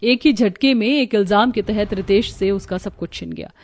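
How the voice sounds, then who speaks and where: A woman's voice: mid-range voice, moderate pace. A woman narrating a scripted Hindi moral story as the storyteller.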